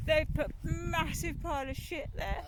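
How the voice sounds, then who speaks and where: A woman vlogging casually on a countryside walk, chatting and ranting in an exasperated way, recorded on a handheld phone outdoors. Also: high-pitched. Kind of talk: casual rambling chat while walking. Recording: loud outdoor wind and noise.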